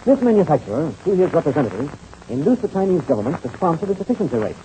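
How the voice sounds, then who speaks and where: Brisk, animated American pilot hero. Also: male voice, a little high, rough recording. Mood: explanatory. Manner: brisk, decisive, hearty. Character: confident American pilot, steady senior adventurer hero. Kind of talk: 1930s radio serial acting. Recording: faintly hissy old radio recording.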